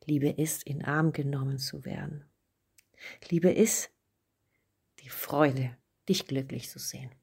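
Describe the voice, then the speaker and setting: A woman of forty-seven from Venezuela, giving a measured personal statement. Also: low-pitched, steady tone, darkish tone, breathy edge.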